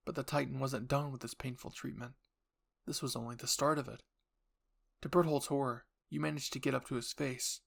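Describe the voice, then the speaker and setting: A man's voice: medium pitch, steady tone, fairly quick, gravelly. A man reading dramatic scripted narration for a roleplay story, addressed to the listener in the second person.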